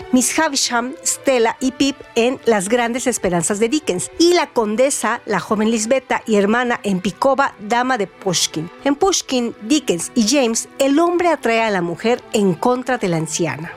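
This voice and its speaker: A woman's voice: on the high side, animated, normal speed. A woman reading scripted literary trivia formally as a radio presenter. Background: background music.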